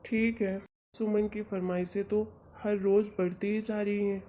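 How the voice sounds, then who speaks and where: Exasperated cartoon husband acted by a woman, chiding and colloquial, mid-pitched, lo-fi audio. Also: level tone, a little slow, slight background noise, close to an everyday voice. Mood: weary, resigned. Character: exasperated young husband, patient and teasingly lecturing. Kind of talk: amateur Hindi cartoon story dialogue. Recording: dull, lo-fi audio.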